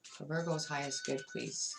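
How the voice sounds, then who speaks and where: A woman's voice: low voice, steady tone, normal speed, over music, rough recording. A woman giving a tarot card reading, talking straight to the viewer with emphatic, repetitive phrasing. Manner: emphatic, repeats words, addresses viewer directly. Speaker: tarot reader.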